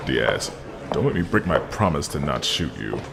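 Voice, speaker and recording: A man plays a stern lead detective with a low, gravelly voice. He is terse and dry but moves widely in pitch, in a brooding audio drama with long reverb. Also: big pitch swings, moderate pace, noisy background, full character voice. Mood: stern, impatient. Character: stern, no-nonsense male lead police detective. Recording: reverberant hall, music underneath.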